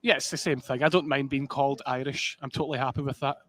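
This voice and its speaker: A man's voice: mid-range voice, steady tone, fast talker, fairly bright tone. A man with a Scottish accent commentating live poker, chatty and joking. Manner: chatty and joking, sings snatches of song.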